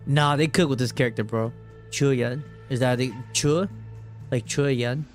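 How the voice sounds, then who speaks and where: Male gaming streamer, average register, relaxed slang-heavy live reactions with swearing, faint music underneath. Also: mid-range voice, unhurried. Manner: slangy, sweary, laid-back. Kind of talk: casual live reaction commentary. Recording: game music behind the voice.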